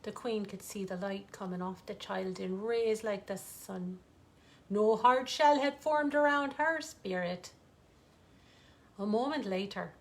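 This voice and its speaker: A woman author reading a bedtime fairytale aloud in an expressive, theatrical, lilting way with dramatic pauses. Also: medium pitch.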